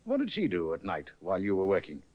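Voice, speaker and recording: A skeptical, probing police inspector, clipped and questioning. His pitch is lively and rises and falls a lot. The sound is muffled like an old broadcast. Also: fast, full character voice.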